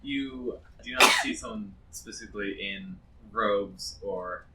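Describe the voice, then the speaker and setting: A man's voice: lowish voice, measured pace. A man casually narrating a tabletop game as game master. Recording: faint background noise.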